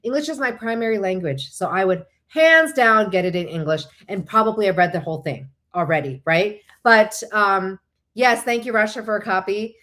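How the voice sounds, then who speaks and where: A woman's voice: mid-range voice, normal speed, fairly bright tone. A woman whose first language is Korean, hosting a casual, chatty livestream, often digressing and thinking aloud as she replies to viewers. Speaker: host of a live online show; licensed therapist.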